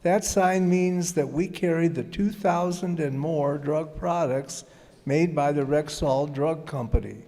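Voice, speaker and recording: A man's voice: a little high, a little slow, dark tone. A man playing an earnest, reassuring neighborhood druggist reading a 1940s-style radio commercial. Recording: reverberant hall.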